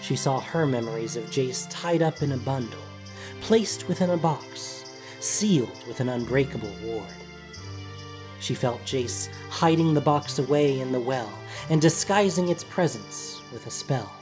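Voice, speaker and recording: An audio drama narrator with a mid-pitched voice reads scripted prose. Soft music runs faintly behind the words. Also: animated, unhurried.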